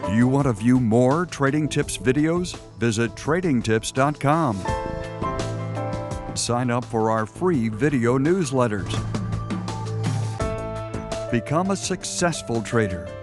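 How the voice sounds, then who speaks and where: A man's voice: mid-range voice, animated, takes it slowly, pauses often. A man reading a promotional voice-over in a polished, salesman-like announcer manner. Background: music bed.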